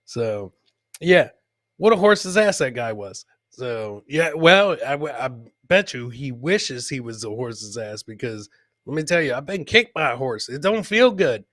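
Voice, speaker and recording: A man's voice: mid-range voice, very animated, measured pace, a bit bright, no echo, clean studio sound. A man hosting a comedy podcast, casually commenting on funny video clips and repeating his own catchphrases. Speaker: podcast host.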